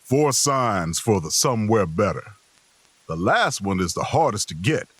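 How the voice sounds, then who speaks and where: Husky, sick, weary traveler narrating. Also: male voice, fairly low pitch, big pitch swings, fairly bright tone, dry recording, full character voice. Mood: weary, watchful. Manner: slow, weary, wry. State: queasy, groaning. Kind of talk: first-person narration in audio fiction.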